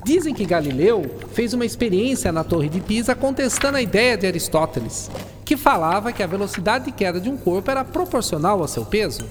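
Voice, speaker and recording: Grumpy, aloof scientist played by a woman, animated delivery. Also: medium pitch, a little fast, natural voice. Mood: pondering. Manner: curt, haughty, formal. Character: Isaac Newton, grumpy, aloof, brilliant male scientist. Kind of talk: radio drama acting. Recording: long reverb, music behind.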